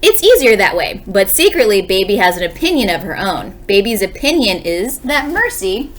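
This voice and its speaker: A woman's voice: medium pitch, very animated, moderate pace, a bit bright, a little hiss or hum. A woman, a second grade teacher, reading a storybook aloud expressively and explaining it for young listeners. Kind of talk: expressive children's read-aloud.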